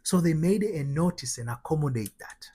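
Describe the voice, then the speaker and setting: A man's voice: fairly high pitch, lively intonation, normal speed, dark tone, breathy edge. A man from Tanzania with a Tanzanian accent, giving motivational spiritual teaching in an emphatic, repetitive way with rhetorical questions. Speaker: host, spiritual transformation coach.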